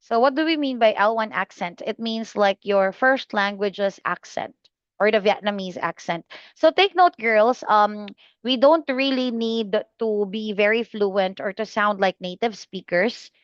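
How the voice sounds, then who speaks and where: A woman tutoring English exam students, giving feedback slowly and patiently with many fillers and pauses. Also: mid-range voice, no echo, muffled recording. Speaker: IELTS speaking tutor.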